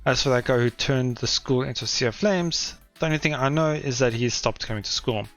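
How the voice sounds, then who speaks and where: Man reading teenage schoolboy narrator, wry, self-deprecating, dry delivery, average pitch, faint music behind. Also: fairly quick, fairly bright tone, close to an everyday voice. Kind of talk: reading visual novel text aloud.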